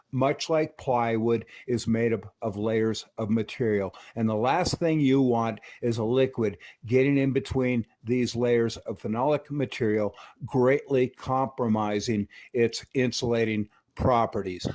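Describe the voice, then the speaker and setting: A man with a mid-pitched voice narrates a repair tutorial. He sounds like a seasoned hands-on technician, mixing technical detail with folksy, colorful expressions. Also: a little mellow. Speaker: radio repair technician and presenter.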